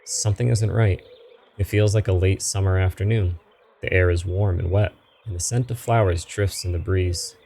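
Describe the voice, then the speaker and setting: A man's voice: sits low, fairly even intonation, moderate pace, gravelly, warm tone, a little hiss or hum. A man reading a story game aloud as narration, with game music behind him. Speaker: video game player reading a story game aloud, voicing the characters.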